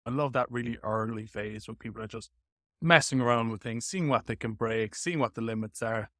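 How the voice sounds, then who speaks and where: A man hosting a casual tech podcast chat, with hesitant, halting phrasing. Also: mid-range voice, pushed and bright, dry recording, studio quality. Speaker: podcast host.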